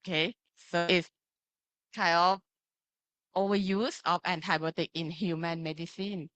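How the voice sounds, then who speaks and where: Slow, bright-voiced Thai-accented woman lecturer. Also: fairly low pitch, steady tone, no echo, dull audio. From Thailand. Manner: simple phrasing, often checking with "right?" and "okay". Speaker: pharmacy lecturer. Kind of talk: interactive online lecture with slides.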